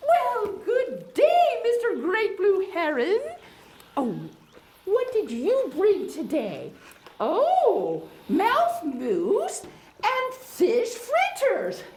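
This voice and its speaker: A woman voicing a very high, prim beaver hostess. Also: wildly swooping pitch, very slow, darkish tone, heavily acted. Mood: gracious. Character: genteel female beaver hostess, kindly and proper. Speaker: puppet show hostess and teacher. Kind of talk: children's educational puppet show. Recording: echoey room with faint noise.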